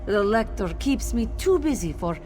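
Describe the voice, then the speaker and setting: Woman as a mellow, gentle cat-folk mushroom grower. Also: mid-range voice, big pitch swings, soft background music, close to an everyday voice. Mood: mildly doubtful. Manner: speaks of self in third person, measured. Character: gentle female cat-folk fungus grower, modest and matter-of-fact. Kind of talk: video game character dialogue.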